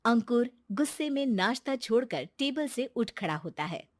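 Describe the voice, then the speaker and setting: A woman's voice: a little high, very animated, moderate pace, no echo, studio quality. A woman narrator reading a scripted cartoon moral story.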